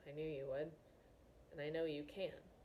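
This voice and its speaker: Reserved, wistful royal father read by a woman, lowish voice, measured, reflective storybook dialogue. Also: level tone, warm tone, lightly acted. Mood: earnest. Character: reserved middle-aged king and father, wistful, awkward.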